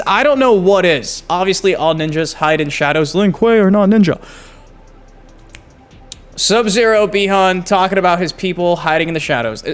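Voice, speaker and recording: A man hosting a gaming channel, giving excited casual commentary and swearing freely. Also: high voice. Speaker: gaming YouTube host. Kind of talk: casual commentary on game clips.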